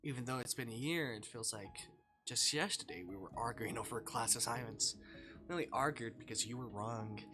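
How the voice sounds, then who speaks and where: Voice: mid-range voice, normal speed, over music, close to an everyday voice. Streamer voicing a dry, teasing young man. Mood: nostalgic, teasing. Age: young adult. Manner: dryly sarcastic, politely formal teasing. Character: teasing, dry-witted young man, former college classmate, now model.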